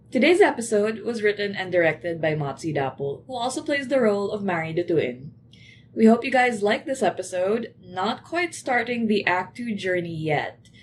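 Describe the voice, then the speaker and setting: Woman reading podcast credits, faint noise behind. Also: fairly high pitch. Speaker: podcast co-creator and producer. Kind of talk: scripted podcast outro announcements.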